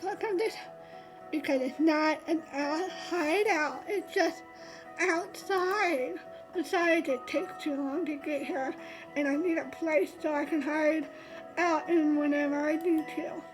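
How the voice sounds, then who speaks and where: A woman's voice: sits high, slow pace, over music, poor audio. A woman streamer reading a visual novel aloud, stumbling and restarting often and adding chatty asides. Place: streaming setup.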